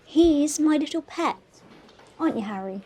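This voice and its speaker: A woman's voice: sits high, lively intonation, moderate pace, dark tone. A woman playing a cheeky, teasing police detective in a naturalistic audio drama. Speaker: actor in an audio play.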